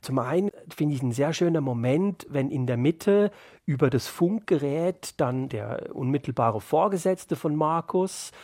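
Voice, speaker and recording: A man's voice: fairly high pitch, animated, measured pace, darkish tone, no echo, clean studio sound. A man speaking as an author in an interview, explaining his work in a thoughtful, reflective way.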